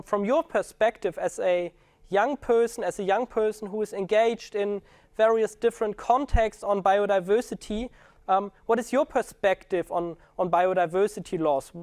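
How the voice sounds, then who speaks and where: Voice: mid-range voice, steady tone, unhurried, a little mellow, dry recording. A moderator asking a guest long questions, hesitant with many fillers. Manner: hesitant, many ums and restarts. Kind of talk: webinar moderation.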